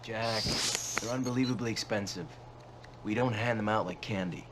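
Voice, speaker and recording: An actor playing an authoritative, serious military commander who mentors a recruit in a scripted game cutscene, speaking firmly. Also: male voice, fairly low pitch, normal speed, background noise, natural voice. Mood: proud, firm. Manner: firm, instructing. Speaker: commanding officer.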